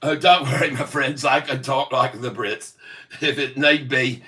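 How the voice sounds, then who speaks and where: A man's voice: mid-range voice, fairly even intonation, unhurried, bright, forceful tone, far from the mic. A man chatting on a livestream, reading viewers' comments aloud, joking around and laughing a lot. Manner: jokey, laughs often, mock accents. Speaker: livestream host reading chat. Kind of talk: casual livestream chat.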